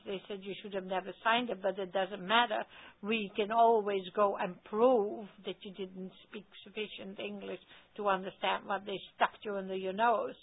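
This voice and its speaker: A woman with a Dutch accent, telling a story animatedly on a phone call, with emphatic stammered restarts. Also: mid-range voice. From Netherlands. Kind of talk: casual talk on a radio call-in. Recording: tinny phone line.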